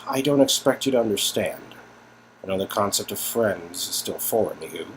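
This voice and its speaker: A man playing a steadfast friend, earnest, lively intonation, story game dialogue, faint background noise. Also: lowish voice, moderate pace, natural voice, poor audio. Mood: firm, reproachful. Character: loyal, earnest male friend in an adventure game.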